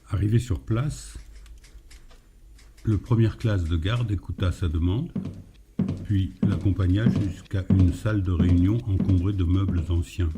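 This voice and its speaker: Male narrator for scripted fiction, very mellow and low, slight noise behind. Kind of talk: scripted literary story narration.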